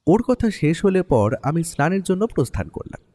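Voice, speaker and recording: A man playing an easygoing writer, the detective's companion, narrating a Bengali audio mystery in the first person with expressive storytelling. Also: a little high, normal speed. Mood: reflective, narrating.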